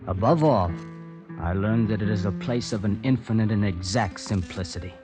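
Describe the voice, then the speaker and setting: An actor as a brooding poet, lowish voice. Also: male voice, natural voice. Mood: brooding, reflective. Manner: measured, literary, dramatic. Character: young, proud, brooding male poet, telling his story. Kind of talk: 1950s radio acting, first-person narration. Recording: vintage radio drama, loud guitar behind.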